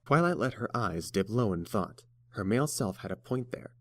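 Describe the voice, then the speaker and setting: A man's voice: fairly low pitch, lively intonation, moderate pace, dry recording. A man reading scripted third-person narration for a fantasy story.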